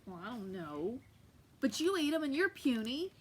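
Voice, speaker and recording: A woman voicing a grumpy, hungry male giant who booms his complaints loudly. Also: sing-song, moderate pace, a little hiss or hum. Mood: grumbling.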